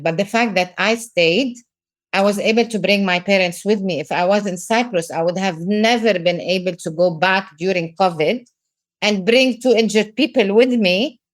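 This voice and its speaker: A middle-aged woman from Lebanon with a Lebanese accent, a business coach talking earnestly in a podcast interview and repeating words for emphasis. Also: medium pitch.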